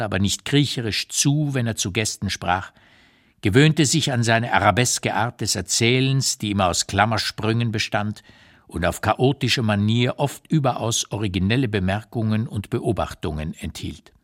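An actor reading as an elderly male writer who looks back with unsparing candour, in a deliberate, measured literary narration for radio. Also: medium pitch, close to an everyday voice.

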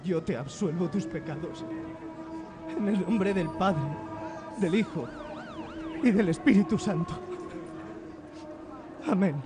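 An actor playing a kindly, earnest priest in a radio drama, speaking with gentle feeling. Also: male voice, high-pitched, expressive, slow, long pauses, music in the background. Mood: urgent, grieving. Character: kindly, grieving priest, friend of the fallen. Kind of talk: Spanish radio drama acting.

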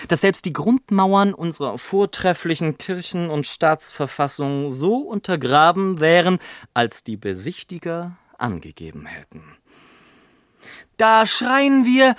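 Pompous gentleman storyteller, slow delivery, widely swinging pitch, theatrical, literary radio play. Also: no echo, clean studio sound. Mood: ponderous, earnest. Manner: theatrical, deliberate, rhetorical. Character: witty, pompous gentleman narrator telling his life story.

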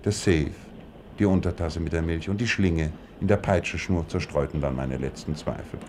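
A man acting in a radio play as a shrewd, composed detective, laying out deductions in a measured, self-assured way. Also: very deep voice, darkish tone, slight background noise. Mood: explanatory.

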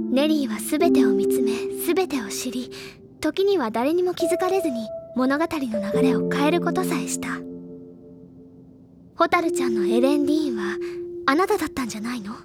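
A woman acting a bookish, earnest teenage schoolgirl sleuth in an audio drama, insistent and explaining things deliberately in feminine phrasing. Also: high voice. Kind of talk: Japanese audio drama acting, accusatory monologue. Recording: loud music under the voice.

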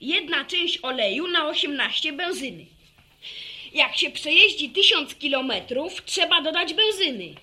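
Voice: high-pitched, lively intonation, a little slow, pushed and bright, full character voice. A woman playing an enthusiastic, know-it-all village boy who lectures on technical detail in a radio drama. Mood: eager, instructive. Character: eager motorbike-mad village boy, chatty know-it-all. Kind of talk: Polish radio drama acting.

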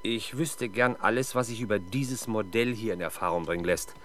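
A man acting as a gruff, impatient, sardonic police inspector in a 1970s German crime radio play. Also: on the low side, moderate pace, slight background noise, lightly acted. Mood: insistent. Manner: brusque, sarcastic, demanding.

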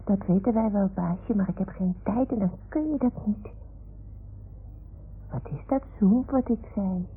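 A woman's voice: mid-range voice, measured pace, breathy edge. A woman voicing a naive, chatty toy dog in a radio play, with a childlike, questioning manner that seeks approval. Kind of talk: 1960s-70s Dutch radio drama acting. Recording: muffled, phone-like old radio recording.

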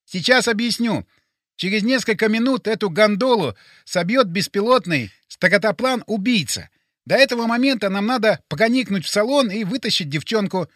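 A man voicing a bossy, excitable, self-important male sidekick with a speech impediment on his R sounds, speaking emphatically. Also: high voice, normal speed, dry recording, studio quality, voice pushed up. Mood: urgent, commanding. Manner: emphatic, insistent.

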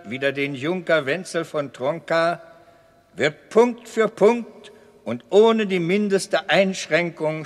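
Radio drama lawyer, high register, declamatory and exact, ringing courtroom reverb. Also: male voice. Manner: declamatory, precise, emphatic. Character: formal court advocate reading out a verdict. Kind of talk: German radio play acting. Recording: long reverberant hall.

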